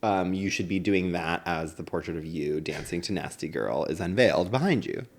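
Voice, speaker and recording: A man with a fairly low-pitched voice co-hosts a casual comedy podcast. He talks in a halting, rambling way, with plenty of filler words as he works toward his point. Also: animated, creaky. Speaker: podcast co-host.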